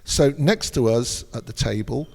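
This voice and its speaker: A man's voice: mid-range voice, lively intonation, measured pace, creaky, a little mellow, big-room sound. A man hosting a travel podcast, chatty and inquisitive as he asks questions. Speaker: podcast host.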